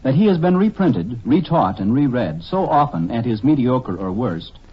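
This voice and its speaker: A man delivers formal scripted commentary like a lecturer. His husky, medium-pitched voice is lively in intonation, heard on a muffled vintage radio broadcast. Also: normal speed. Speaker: literary commentator, professor.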